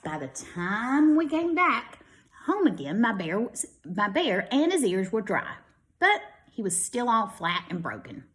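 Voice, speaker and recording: A woman's voice: medium pitch, big pitch swings, unhurried, fairly bright tone. A woman reads a storybook aloud like a teacher to young children, expressively, with casual asides. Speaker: kindergarten teacher reading to pupils.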